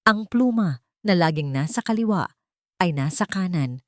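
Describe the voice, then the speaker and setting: A woman narrating a dramatic audio story in a steady, dramatic storytelling manner. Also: fairly low pitch, moderate pace, no echo, clean studio sound.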